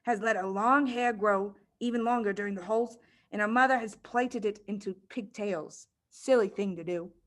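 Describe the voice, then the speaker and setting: A woman's voice: medium pitch, moderate pace, natural voice. A woman voicing a knowing schoolgirl in a children's storybook, confiding and emphatic as she dramatically gossips. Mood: confiding, scornful.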